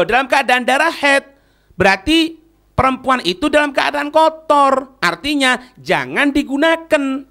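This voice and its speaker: A man's voice: sits high, a bit bright. A male preacher gives a religious lesson. He explains in short emphatic phrases, asking questions and answering them like a teacher. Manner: didactic, emphatic, asks and answers own questions. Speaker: Islamic preacher.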